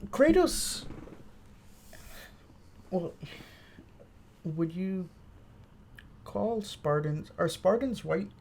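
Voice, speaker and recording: A man's voice: sits high, expressive, drawn-out words, vocal fry, pauses often, a little mellow. A man co-hosting a casual film and video game chat, agreeable and ready to concede a point. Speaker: podcast co-host reviewing films.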